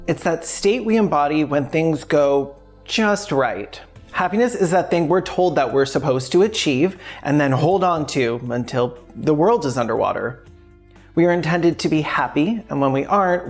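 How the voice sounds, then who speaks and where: Low-voiced woman narrating over music. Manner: wry, sweary, conversational. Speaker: video essayist, host. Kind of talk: scripted video essay narration with wry casual asides. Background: music behind the voice.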